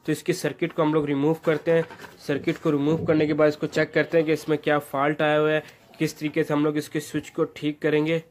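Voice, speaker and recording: Even-toned, higher-pitched man giving repair instructions. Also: fast talker. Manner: instructional, step-by-step, addressing viewers as friends. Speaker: repair tutorial presenter. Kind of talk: hands-on how-to narration, informal. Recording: noticeable background noise.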